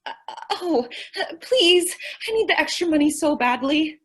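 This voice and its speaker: A woman's voice: high voice, expressive, a little slow. A woman playing a ditzy, cheerful and naive young working woman in old-time radio comedy style. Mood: flustered. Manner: naive, earnest, mangles what she means to say.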